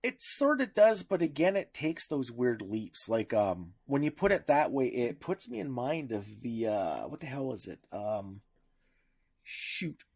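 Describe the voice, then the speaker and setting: A man co-hosting a casual discussion, talking haltingly, often searching for words and rephrasing as he goes. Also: medium pitch, big pitch swings, vocal fry, a little mellow, breathy edge. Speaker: podcast co-host.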